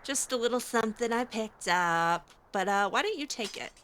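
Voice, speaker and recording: A woman's voice: a little high, lively intonation, moderate pace, fairly bright tone, a little hiss or hum. A woman playing through a video game in character, chatting casually in a hesitant, wry way. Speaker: gameplay roleplayer voicing her character.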